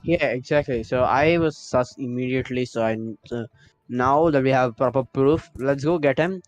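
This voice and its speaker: A man's voice: medium pitch, normal speed, faint music behind. A man co-hosting a game stream, reacting in casual, joking, sarcastic chat.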